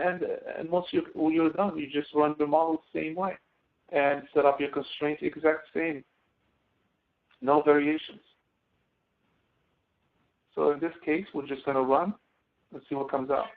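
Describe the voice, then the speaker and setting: A man working as a college instructor, explaining step by step in an online class with a halting delivery that often restarts. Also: mid-range voice. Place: online screen-sharing class session. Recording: tinny phone-like webinar audio.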